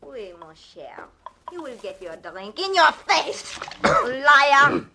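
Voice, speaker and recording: A woman's voice: high-pitched, sing-song, takes it slowly, pushed and bright, exaggerated voice. A woman playing a sultry, dangerous French seductress with a thick French accent in 1940s radio drama style. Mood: furious. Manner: purring, theatrical. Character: sultry, dangerous French femme fatale, quick to threaten.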